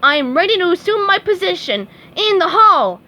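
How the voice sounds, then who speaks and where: A very high, excitable cartoon sea sponge boy, as a woman reads him aloud. He makes grand, pompous speeches and shouts with zeal, his voice bouncing all over the place. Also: normal speed, slight background noise, exaggerated voice. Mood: pompous, zealous. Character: eager excitable young male sea sponge. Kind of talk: storybook read-aloud.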